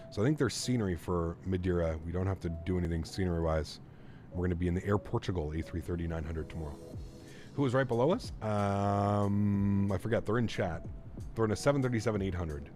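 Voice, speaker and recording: A man in his thirties with a lowish voice chats easily from a home streaming setup, reading viewer comments aloud and thinking out loud. He talks in a relaxed, rambling way with fillers and restarts. Faint music plays underneath. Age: 30s. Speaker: flight sim streamer.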